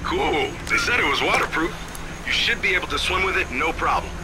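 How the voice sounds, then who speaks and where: A man's voice: fairly high pitch, lively intonation, fairly quick, background noise, natural voice. A man playing a chatty, good-humoured tech sidekick in a video game cutscene, casual and joking. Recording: loud game music behind.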